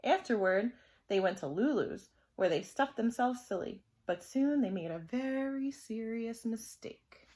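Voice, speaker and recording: A woman storyteller reading a children's book aloud in an expressive, dramatic style. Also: fairly high pitch, measured pace.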